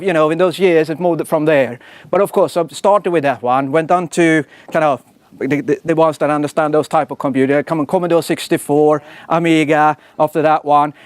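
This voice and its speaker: A man's voice: a little high, no room sound. A Swedish man in his forties with a Swedish accent, giving a casual, self-deprecating conference talk full of fillers and tag questions. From Sweden. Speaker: engineering leader giving a talk.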